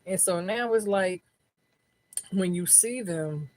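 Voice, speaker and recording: A woman giving a live tarot reading in casual, slangy, profane talk. She keeps checking in with her listeners and sometimes drops her voice low into a close microphone. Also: slow, darkish tone. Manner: slangy, profane, keeps asking "you know what I'm saying"; lowers her voice to keep it down. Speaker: tarot reader. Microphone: own microphone, close up.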